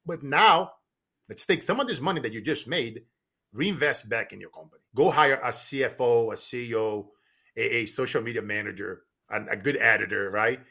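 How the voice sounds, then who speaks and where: Husky, bright-voiced middle-aged man talking emphatically. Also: medium pitch, moderate pace. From Brazil. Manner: animated, repetitive restarts, emphatic. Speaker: guest, business coach and speaker. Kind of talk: casual podcast conversation.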